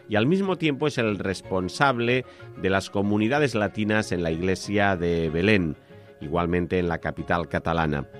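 A man reading a scripted news report in a measured, formal manner. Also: sits low.

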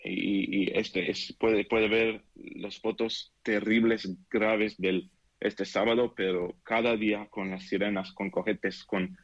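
Deep-voiced young Israeli man, dull audio. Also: level tone, no room sound. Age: 20s. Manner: halting non-native speech, searching for words. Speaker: interviewee giving an eyewitness account. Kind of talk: unscripted interview. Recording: dull, band-limited remote call audio.

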